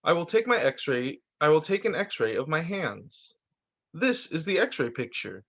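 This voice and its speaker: A man with a slightly high voice reads storytime books for young children. He goes very slowly and deliberately, with a lively, swooping melody. His phrasing is sing-song, like a teacher leading small listeners through each line.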